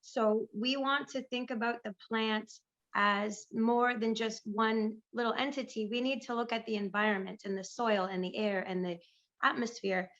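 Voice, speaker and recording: A woman recovering from a lost voice, so it squeaks now and then, speaking enthusiastically and off the cuff on a conference panel over a video call. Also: fairly even intonation, normal speed, fairly bright tone, highs cut off. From Canada. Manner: enthusiastic, chatty, improvising. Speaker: panelist, pest management business owner.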